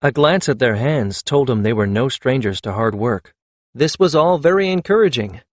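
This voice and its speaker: A man narrating a fantasy audiobook in a measured, even storytelling manner, close to the microphone. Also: medium pitch, no room sound.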